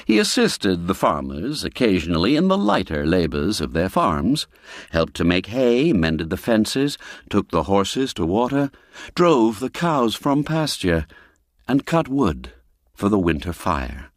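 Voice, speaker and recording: Guy reading an old story out loud, really slow, voice rises and falls a lot, measured storyteller delivery. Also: lowish voice, sing-song, no room sound, studio quality. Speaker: narrator and audiobook reader. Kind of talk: scripted literary storytelling narration.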